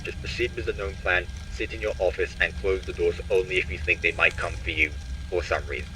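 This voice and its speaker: A man's voice: low voice, fairly even intonation, fairly quick, close to an everyday voice. A young man playing a friendly, slightly uneasy night-shift employee, leaving polite, casual instructions in a horror game. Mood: friendly, hurried. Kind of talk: recorded phone message in horror game. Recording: clearly noisy taped message.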